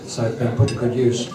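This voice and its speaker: A man hosting a lunch event, giving a halting formal introduction full of ums and throat clearing. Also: mid-range voice. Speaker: event host introducing a speaker. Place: dining hall at a lunch event. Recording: roomy hall, distant mic, clattering dishes.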